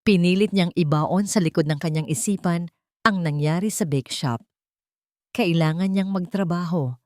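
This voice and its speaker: A woman narrating a romance drama story in a calm, measured way. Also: lowish voice, no room sound, studio quality. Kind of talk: scripted narration.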